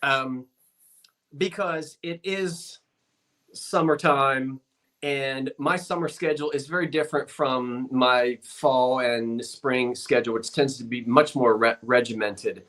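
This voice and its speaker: A man's voice: medium pitch, slow pace, fairly bright tone. A man hosting a casual live-stream sale over a video call, folksy and homespun in how he talks.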